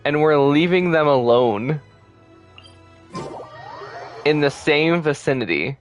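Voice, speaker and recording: A man's voice: a little high, expressive, slow pace, faint music behind. A man giving casual gameplay commentary as a streamer, chatty, colloquial and self-deprecating. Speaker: game streamer.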